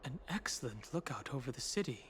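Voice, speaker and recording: A man's voice: mid-range voice, big pitch swings, fairly quick, heavy background noise, close to an everyday voice. An actor voicing a young male traveler in a video game, talking in a dry, slightly awkward way. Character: wry young male traveler hiding his origins. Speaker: player character. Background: game music.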